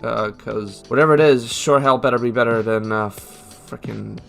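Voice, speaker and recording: A man's voice: mid-range voice, moderate pace, gravelly, a bit bright, soft background music. A man giving a casual, joking voice-over update in a sweary, self-mocking and informal manner. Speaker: channel creator and host.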